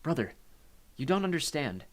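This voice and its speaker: Audiobook casting: protective spirit of a girl, played by a man, grave, cautioning, higher-pitched. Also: big pitch swings, normal speed. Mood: concerned warning. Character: solemn, protective ghost of a dark-haired huntress girl.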